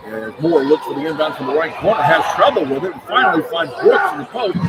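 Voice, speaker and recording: Male sports play-by-play announcer, high and lively delivery, basketball arena with a roaring crowd. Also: fast talker, heavy background noise. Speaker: radio play-by-play commentator. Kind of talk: live basketball commentary. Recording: loud crowd and music behind commentary. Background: loud arena crowd and music.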